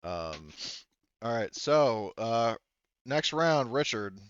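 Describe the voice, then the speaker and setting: A man's voice: mid-range voice, very animated, slow, clean tone, no echo, muffled recording. A man acting as game master, casually narrating a tabletop roleplaying game, swearing and laughing readily.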